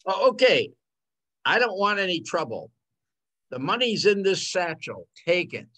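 A man's voice: high voice, big pitch swings, unhurried, bright, forceful tone, exaggerated voice. An older man playing a shady, tough-talking cheating husband in an old-time radio crime drama, recorded on a video call. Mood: wary. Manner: slangy tough-guy talk. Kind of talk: 1940s radio drama acting. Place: home room, video call. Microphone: computer mic on a video call.

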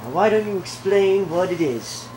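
A man's voice: high voice, expressive, normal speed, a little mellow, echoey room, slight background noise, rough recording. A teenage boy co-hosting a casual vlog at home, teasing and wisecracking. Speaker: vlog co-host. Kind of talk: casual chat.

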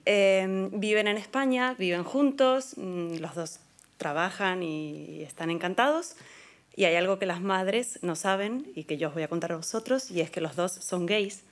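A woman pitching her film story to an audience from a podium microphone. She tells it like a storyteller in a slightly low voice. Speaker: filmmaker pitching a project.

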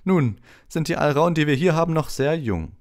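A man voicing a brisk, practical female herbology professor instructing her class in an audiobook. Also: a little high, animated, a little mellow, voice pushed up, lightly acted. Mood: brisk, instructive. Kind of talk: audiobook character voice.

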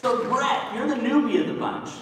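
A man's voice: extremely high pitch, normal speed, fairly bright tone. A man hosting a stage panel, introducing and questioning guests in an informal, stop-start way. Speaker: panel host. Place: large convention hall stage, audience recording from afar. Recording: very echoey distant hall, crowd noise.

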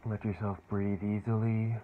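Deep-voiced man guiding a meditation, phone-like sound. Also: level tone. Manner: soothing, unhurried, with long pauses. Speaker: guided meditation teacher. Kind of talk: guided meditation. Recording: muffled phone-like sound with clear background noise.

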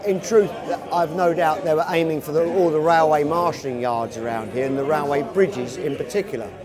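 A middle-aged man talking about his cafe in a seated documentary interview, reflective and unhurried, recorded indoors in a cafe. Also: on the high side, a crowd in the background.

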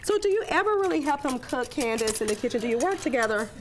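A woman's voice: high voice, sing-song, fast talker, a little hiss or hum. A woman hosting a daytime TV cooking segment, upbeat and asking her guests questions. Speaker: talk show host. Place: TV studio kitchen set.

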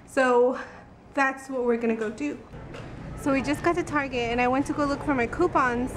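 A woman's voice: high voice, fairly even intonation, normal speed, background noise. A young woman in her twenties chatting casually to a handheld camera as a beauty vlogger, giggly and full of "like". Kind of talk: casual vlog chat. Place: car, home and stores. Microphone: handheld camera at arm's length.

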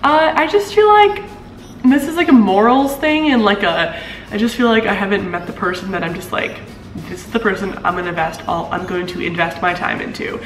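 Young woman vlogger with a deeper voice, casual and chatty, laughs a lot, recorded on a camera mic in a roomy apartment. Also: lively intonation, brisk, soft background music. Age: 20s. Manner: chatty, laughs often, swoops up in pitch. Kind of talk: casual chat reading comments. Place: living room of an apartment. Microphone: camera mic at a few feet. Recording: echoey room.

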